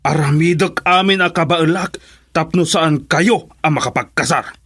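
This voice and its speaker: A man's voice: on the high side, moderate pace, a bit bright, slightly rough, dry recording, clean studio sound. A Filipino man playing an angry, domineering father in a radio drama, scornful and threatening. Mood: stern, menacing. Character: angry, domineering father opposing his daughter's marriage.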